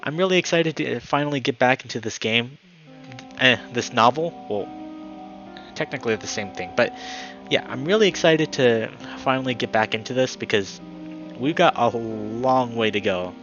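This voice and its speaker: A man's voice: mid-range voice, moderate pace, lo-fi sound, soft background music. A man speaking as a live streamer, moving between friendly chat and reading a story aloud. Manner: upbeat, friendly, excitable when greeting viewers.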